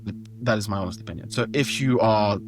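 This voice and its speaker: A young man from London with a British accent, a startup founder talking in a relaxed, hesitant way as an interview guest. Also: on the low side, fast talker, music in the background, rough recording. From born in France, grew up in London. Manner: relaxed, hesitant, many ums and restarts. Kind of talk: casual interview.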